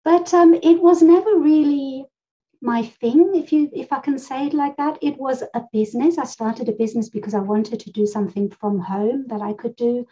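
Middle-aged woman, from Germany, chatty, rambling, higher pitch, muffled lo-fi sound. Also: moderate pace, warm tone. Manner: chatty, rambling storyteller who laughs often. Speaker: podcast co-host. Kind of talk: casual conversational podcast chat. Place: home room full of books. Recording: slightly dull, rough audio.

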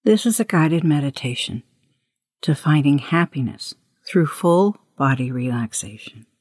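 A woman leading a guided meditation as host, with a hushed, breathy voice and gentle, unhurried instructions. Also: low-pitched, animated, no room sound, clean studio sound. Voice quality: breathy, husky, often near whisper.

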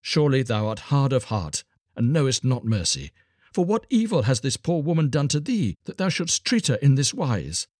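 A man's voice: lowish voice, big pitch swings, moderate pace, a little mellow, husky, dry recording, clean studio sound. A man voicing an upright, kindly woodcutter in earnest, archaic storybook speech. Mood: reproving. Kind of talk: dramatised storybook reading.

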